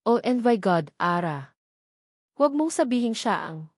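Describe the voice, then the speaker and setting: A woman's voice: on the high side, sing-song, unhurried, breathy edge, no room sound, heavily acted. Lady narrator doing alarmed nosy bestie in a romance drama, urgent, prying, dry sound. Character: alarmed, nosy female best friend, whispering demands. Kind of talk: Tagalog romance audio drama.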